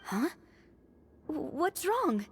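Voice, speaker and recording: A woman voicing a small, childlike, excitable and easily frightened fairy companion in a video game, with a squeaky, whiny voice. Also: extremely slow, slight background noise, heavily acted. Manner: refers to self by name, whiny when anxious.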